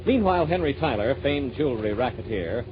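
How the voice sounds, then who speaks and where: A man's voice: mid-range voice, lively intonation, brisk. A man announcing and narrating a story in scripted 1940s radio style. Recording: muffled old radio broadcast over music.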